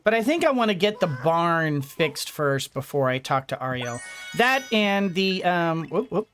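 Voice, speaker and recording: A woman giving casual video game commentary, halting as she thinks aloud. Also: fairly low pitch, a little hiss or hum. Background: game music.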